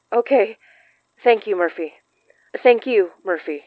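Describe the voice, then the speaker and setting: An actress playing a warm, earnest young woman in an audio drama, gentle and reflective, with sighs. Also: fairly high pitch, sing-song, slow pace, no echo, muffled recording, full character voice. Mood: apologetic, relieved. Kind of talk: naturalistic audio drama acting.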